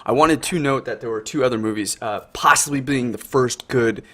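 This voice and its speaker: A man's voice: medium pitch, brisk, a bit bright. Enthusiastic, slangy man chatting casually. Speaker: podcast co-host. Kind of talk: casual group chat. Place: remote online voice call.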